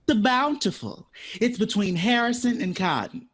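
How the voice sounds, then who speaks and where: An actor in an audio drama playing a witty, dreamy jazz composer who talks with playful, dramatic flourishes. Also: male voice, high voice, sing-song, normal speed, a bit bright, breathy edge, full character voice. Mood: theatrical, eager. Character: witty, dreamy, theatrical male jazz composer, eager for independence.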